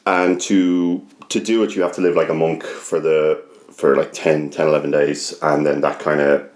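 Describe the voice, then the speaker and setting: A deep-voiced Irish man tells a story in a loose, conversational way. He is self-deprecating, rambles and swears freely. The room echoes clearly around him. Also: little pitch movement, moderate pace, darkish tone. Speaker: podcast guest. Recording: roomy, echoing podcast recording.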